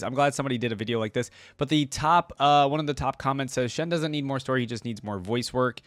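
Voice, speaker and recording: A man's voice: mid-range voice, dry recording. A man giving casual, rambling reaction commentary as he hosts, with plenty of filler words. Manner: rambling, hedging, full of "like". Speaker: reaction video host.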